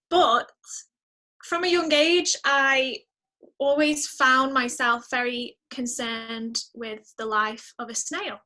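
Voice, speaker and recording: A woman speaking as a podcast guest in a casual interview, chatty and expressive, often exclaiming. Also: sits high, extremely slow, long pauses.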